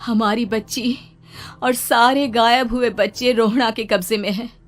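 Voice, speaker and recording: A woman playing the gentle spirit of a dead young mother in a children's cartoon story. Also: a little high, steady tone, a little slow, faint music behind, close to an everyday voice. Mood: earnest. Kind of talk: children's animated story acting.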